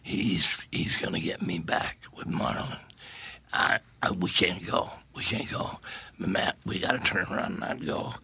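A man's voice: sits low, unhurried, bright, forceful tone, a little hiss or hum. A man in his seventies, an actor telling rambling stories in a casual interview and laughing at his own jokes. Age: 70s. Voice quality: gravelly, husky. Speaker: actor guest.

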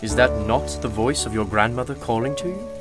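A patient, wise man of mystery, played by an actor, keeper of a magical shop in a radio drama. His mid-pitched voice is formal, measured and courteous, with loud music swelling behind it. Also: animated, lightly acted. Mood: knowing, kindly. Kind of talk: radio play acting.

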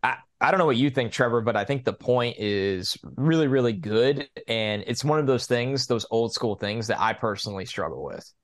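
A man's voice: mid-range voice, lively intonation, moderate pace, hardly any pauses. A man co-hosting a sports podcast, giving his opinions on football in a casual, conversational way.

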